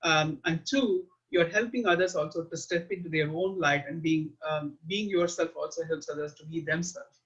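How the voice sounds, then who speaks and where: A man in his forties from India with an Indian accent, speaking as a guest in an interview in an earnest, measured way with frequent fillers. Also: on the high side, fairly bright tone. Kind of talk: reflective interview talk. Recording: muffled lo-fi audio.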